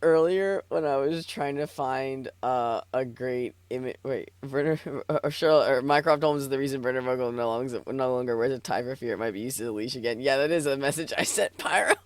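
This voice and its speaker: Casual, halting man on a dry mic. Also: mid-range voice, clean tone, no echo. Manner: halting, joking, often loses track. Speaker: stream co-reader. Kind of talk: casual chat.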